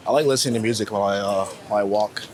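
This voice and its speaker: A man's voice: on the low side, rapid-fire, a crowd in the background. A man in his late twenties talking casually and reflectively in a rambling, slangy way, like an interviewee out on a walk. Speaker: street photographer being interviewed. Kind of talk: casual walking chat. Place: outdoors on a New York City street. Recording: outdoor city street noise. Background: faint traffic and urban ambience.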